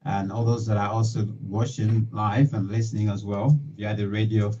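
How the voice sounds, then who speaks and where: A middle-aged man hosts a live radio interview, his voice low and mellow. He talks in halting bursts full of fillers and restarts. The sound is dull and lo-fi, as if picked up from a little distance. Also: steady tone, slight background noise. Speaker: talk show host. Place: small home radio studio. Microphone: studio mic with headphones.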